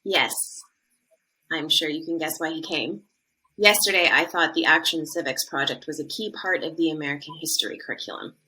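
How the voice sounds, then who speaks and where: A woman's voice: sits low, brisk, sharp tone, slight background noise, rough recording. An actress playing an earnest, conflicted woman school administrator in a podcast drama. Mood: earnest, concerned.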